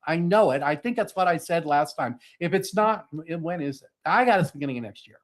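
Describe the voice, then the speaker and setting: A man hosting a live online tarot reading, talking fast, chatty and reassuring over a video call. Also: a little high, lively intonation. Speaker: psychic reader, show host.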